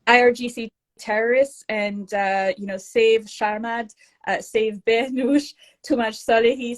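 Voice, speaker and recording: Female co-host, earnest activist delivery, average pitch, casual livestream tone. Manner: earnest, urgent, reads names and hashtags. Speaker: co-host sharing activism updates.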